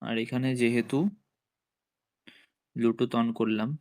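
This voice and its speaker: A man's voice: mid-range voice, level tone, slow pace, a little mellow. A man giving a casual hands-on tutorial, explaining step by step and speaking directly to viewers. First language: Bengali. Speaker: motovlogger and product reviewer.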